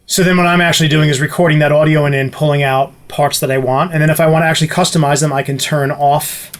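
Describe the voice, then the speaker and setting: A man's voice: fairly high pitch, steady tone, fast. A man talking casually as a music producer, walking through a gear demonstration. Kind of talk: casual explanatory tutorial talk.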